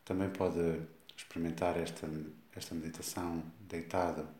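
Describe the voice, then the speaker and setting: Very low, even-voiced Portuguese man guiding meditation. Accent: European Portuguese. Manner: slow, calm instruction with long pauses. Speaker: meditation guide. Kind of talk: guided body scan meditation. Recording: echoey, reverberant room sound.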